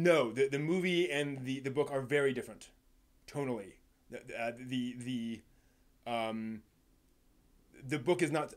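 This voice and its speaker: Casual, halting male livestream host. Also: medium pitch, a little mellow. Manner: halting, thinking aloud, rephrasing mid-sentence. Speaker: live stream host. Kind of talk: casual unscripted talk to live viewers.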